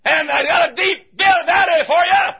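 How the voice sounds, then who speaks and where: An American man preaching a fervent revival sermon in a raspy, straining voice, impassioned and often shouting in a repetitive cadence. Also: normal speed, some chatter behind. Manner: impassioned, shouting, repetitive cadence, dramatic swings from low to loud. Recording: dull, muffled lo-fi sermon recording.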